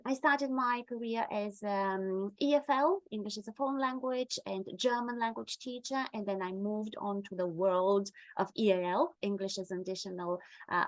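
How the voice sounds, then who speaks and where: A woman's voice: mid-range voice, no room sound, poor audio. A middle-aged woman whose first language is Bulgarian, presenting an online webinar in a friendly way with many ums and uhs. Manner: friendly, with frequent fillers.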